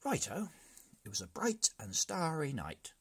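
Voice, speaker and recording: A man's voice: fairly high pitch, huge pitch swings, a little slow, husky, pitched above the performer's own voice, exaggerated voice. A man voicing a young captive boy in a storybook, earnestly improvising a tall tale. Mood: obliging. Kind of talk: storybook character voice.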